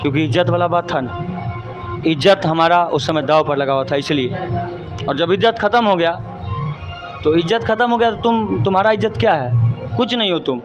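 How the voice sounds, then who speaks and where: A man's voice: on the high side, moderate pace, pauses often, dull audio, over music. A man with a Bihari accent giving indignant, emphatic interview answers and repeating his points. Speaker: stage show performer, interviewee.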